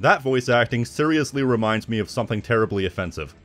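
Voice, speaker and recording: A man's voice: medium pitch, expressive, quick pace, a bit bright, faint music behind. A man delivering scripted video commentary as an essayist, with snide, wry asides.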